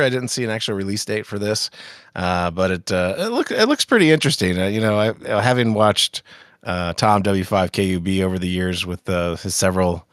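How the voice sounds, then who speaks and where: Male co-host, very low voice, stuffy from a head cold, rambling chat full of "uh"s, dry close sound. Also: dry recording. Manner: rambling, many fillers and restarts, laughs often. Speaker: podcast co-host. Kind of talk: casual chat about amateur radio news.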